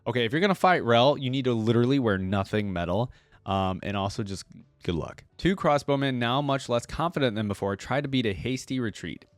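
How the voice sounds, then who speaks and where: A man's voice: medium pitch, expressive, fairly quick, dry recording. A man hosting a reaction video, reading a fantasy story aloud and adding casual commentary.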